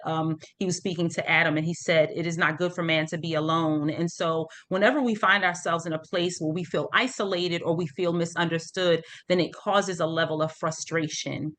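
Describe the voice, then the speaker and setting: A middle-aged woman from the United States with a slightly low voice leads a group session over a video call. She explains things informally, step by step, and often checks that listeners are following. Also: a bit bright, a little hiss or hum. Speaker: parent support group host. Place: online video meeting.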